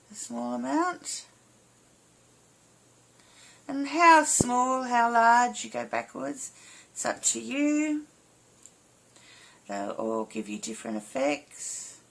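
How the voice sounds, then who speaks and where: A woman with a slightly hoarse, croaky voice giving a casual step-by-step craft tutorial in short, informal phrases as an instructor. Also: on the high side, sing-song, slow, long pauses. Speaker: embroidery instructor.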